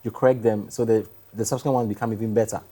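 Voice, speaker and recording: Fast-talking Ghanaian man being interviewed. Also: on the low side, fairly even intonation, warm tone. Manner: explains point by point, drops in Twi words. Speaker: stage play producer, interview guest. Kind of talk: explanatory interview talk.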